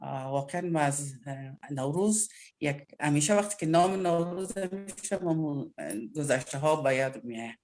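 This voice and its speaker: Quick-talking man recalling childhood. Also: a little high, darkish tone. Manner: nostalgic. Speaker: guest speaker.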